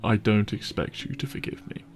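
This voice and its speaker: A man's voice: on the low side, moderate pace, soft background music, lightly acted. A man playing an apologetic, devoted boyfriend with money in an intimate roleplay audio drama, speaking earnestly and tenderly. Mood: regretful, pleading. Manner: earnest, tender, hesitant phrasing with sighs. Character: apologetic, devoted, secretly wealthy boyfriend on a dinner date. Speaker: voice actor.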